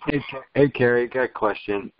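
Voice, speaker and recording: A man calling in by phone with a question, explaining haltingly in a hesitant, conversational way. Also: medium pitch, heavy background noise. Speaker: caller asking a question. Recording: dull phone audio, cutting out.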